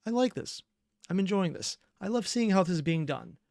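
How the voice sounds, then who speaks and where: Dry-recorded, halting reaction host. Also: mid-range voice, expressive, vocal fry, darkish tone, no echo. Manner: halting, emotionally invested. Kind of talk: casual reaction commentary.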